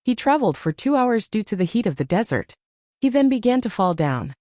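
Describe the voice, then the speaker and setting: A woman's voice: fairly high pitch, sing-song, brisk, a little mellow, dry recording, studio quality. A woman narrator reading a scripted moral tale for children in storybook style.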